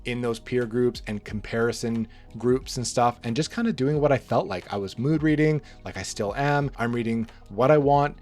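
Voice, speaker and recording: A man hosting a book channel, rambling through a casual monologue, slightly hoarse from being sick. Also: medium pitch, normal speed, few pauses, faint music behind. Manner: relaxed, rambling, frequent restarts and fillers.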